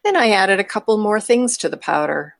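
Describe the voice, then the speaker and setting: A woman's voice: medium pitch, expressive, a little fast, gravelly, dry recording. A woman playing a mischievous, eccentric woman chatting with a friend in an audio drama. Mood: wry, storytelling. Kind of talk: amateur audio drama dialogue.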